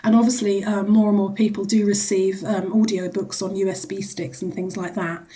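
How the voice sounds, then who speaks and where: Mellow-voiced woman explaining in an interview. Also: mid-range voice, flat delivery, normal speed. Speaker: charity executive, interview guest.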